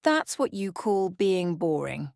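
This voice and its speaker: A woman's voice: lowish voice, very animated, takes it slowly, vocal fry, darkish tone, no room sound, clean studio sound, full character voice. A woman voicing an ancient female vampire in a bedtime story, sly, playful and teasing. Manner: teasing, mischievous.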